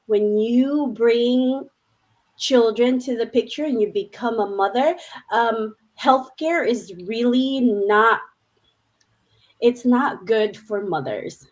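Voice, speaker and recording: A woman hosting a live panel over a video call, her voice sitting high. She speaks slowly, earnest and upbeat, with fillers. She tells her own story to the guests. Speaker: host of a live online panel.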